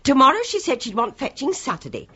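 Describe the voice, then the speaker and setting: An actress playing an exasperated, resentful British housewife in a radio play. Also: female voice, high-pitched, very animated, fast talker, a bit bright, breathy edge, exaggerated voice. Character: harried, exasperated housewife resentful of her husband's absences. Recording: dull, muffled radio drama recording.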